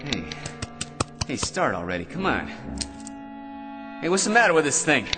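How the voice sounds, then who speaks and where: A man's voice: fairly high pitch, very animated, moderate pace, music in the background. An actor playing a hapless ordinary man in a radio drama, cocky or frantic, stammering and chattering nervously. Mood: flustered, nervous.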